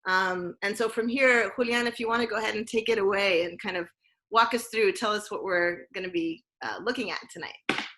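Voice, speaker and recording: A woman hosting and introducing an online event over a video call, speaking informally and haltingly as she thinks aloud. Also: mid-range voice, steady tone, fairly bright tone, low-quality recording. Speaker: event host from a photography foundation.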